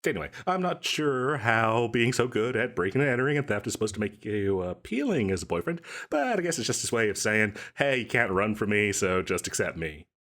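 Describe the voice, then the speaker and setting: A man's voice: fairly low pitch, big pitch swings, a little fast, hardly any pauses, a bit bright. A man playing an overeager, chatty and cheerfully shady salesman in a comedic audio roleplay, rambling and stammering coyly through his patter. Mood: admiring, amused. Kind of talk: comedic audio roleplay, one side of a conversation.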